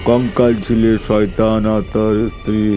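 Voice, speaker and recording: A calm holy man from a horror cartoon, voiced by a male storyteller. He speaks low and measured, with solemn, reassuring formality. Faint music runs behind him. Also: close to an everyday voice. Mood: wise, instructing.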